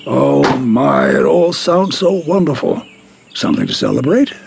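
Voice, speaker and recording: A man playing a gentle, doting elderly grandfather in an audio drama, folksy, with halting exclamations and sighs. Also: mid-range voice, animated, gravelly, background noise. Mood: delighted. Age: older.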